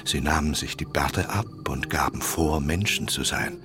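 An actor playing a wise, kindly, wistful old half-dwarf tutor in a children's radio play, speaking solemnly and deliberately. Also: male voice, very deep voice. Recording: music behind the voice. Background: clear background music.

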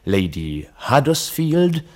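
An actor playing a chronicler, narrating a mock history in a formal, matter-of-fact way for a radio play. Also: male voice, mid-range voice, sing-song, takes it slowly, a little mellow.

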